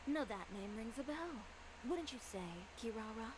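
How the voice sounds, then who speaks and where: A woman's voice: on the high side, lively intonation, normal speed, natural voice. A woman voicing a stern, composed adult fashion designer who questions others in a cool, strict way. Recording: game audio with background noise.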